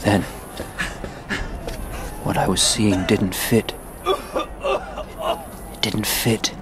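An actor in an audio drama playing a troubled man recalling his past in a halting, reflective way. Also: fairly low pitch, huge pitch swings, full character voice. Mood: haunted reflection. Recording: clearly noisy, ambience behind.

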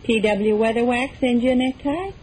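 An American actress playing a thrifty, skeptical middle-aged wife, folksy and persistent, in a 1940s radio comedy. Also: female voice, a little high, normal speed, a little mellow, lightly acted. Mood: doubting, persistent. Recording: noisy old 1940s radio recording.

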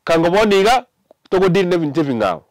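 A young Kenyan man with a Kenyan accent, giving animated casual commentary to camera from a small indoor studio, with many fillers and mixed languages. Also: high-pitched, quick pace, no echo. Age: young adult. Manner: animated, mixing English and Ekegusii, many fillers.

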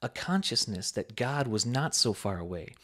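A man reading a sermon aloud with a measured, emphatic preaching cadence. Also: medium pitch, animated, a bit bright.

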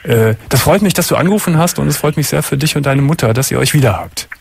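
A middle-aged man hosting a late-night call-in radio show from a studio, listening calmly and answering with steady affirmations. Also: fairly high pitch, fast talker, gravelly, a little hiss or hum. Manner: calm, attentive listener with frequent murmured affirmations. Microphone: studio headset and microphone.

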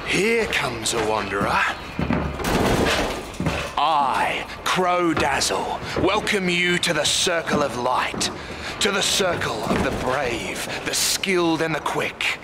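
An actor playing a sly wasteland race master in a video game, with florid, preacher-like theatrical oratory. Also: male voice, fairly high pitch, very animated, takes it slowly, very noisy. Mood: grand, ceremonial. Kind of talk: theatrical game character acting.

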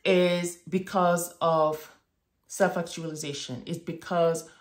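A woman's voice: on the low side, little pitch movement, takes it slowly. A woman in her thirties from Morocco, talking thoughtfully to camera in a home office, often checking in with "right?" and "okay?". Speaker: vlogger. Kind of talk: reflective casual monologue to camera. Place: home office with bookshelves, indoors. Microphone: webcam at desk distance. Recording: echoey room.

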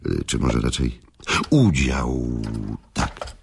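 A scheming older gentleman of radio drama with a very deep, creaky, raspy voice. He courts his listener with antique politeness and dangles each phrase theatrically. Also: lively intonation, full character voice. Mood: pleased, intriguing. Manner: theatrical, old-fashioned courtly phrasing with teasing pauses.

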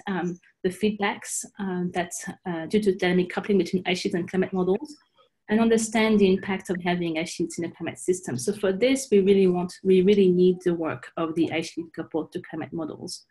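A middle-aged woman presents research on a video call in a mellow, medium-pitched voice. She explains things informally, with a halting flow as she works through her slides. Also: low-quality recording. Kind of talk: online scientific presentation with slides.

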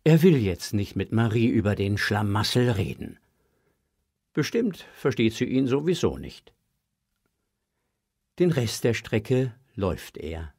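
Male narrator for kids' audio play; unhurried, husky, lively intonation. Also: fairly low pitch, no room sound, studio quality. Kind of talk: expressive scripted narration for a children's audio drama.

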